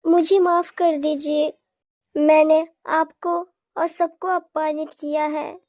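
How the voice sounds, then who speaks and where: A woman's voice: high voice, level tone, takes it slowly, a little mellow, dry recording, studio quality, pitched above the performer's own voice. A woman voicing a humbled, hesitant young princess in a children's cartoon story. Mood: remorseful. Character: humbled young princess, once proud, now remorseful.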